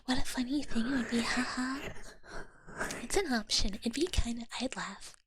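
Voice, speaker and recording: A young woman whispering softly to her stream chat in an ASMR style, giggling often. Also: a little high, moderate pace, noisy background. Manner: soft whisper with frequent giggles.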